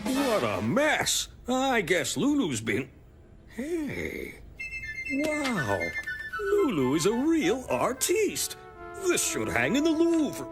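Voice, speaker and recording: A dreamy grown-up in a cartoon, musing very slowly in a high voice that wanders up and down, with loud music behind. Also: male voice, huge pitch swings, exaggerated voice. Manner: slow, musing.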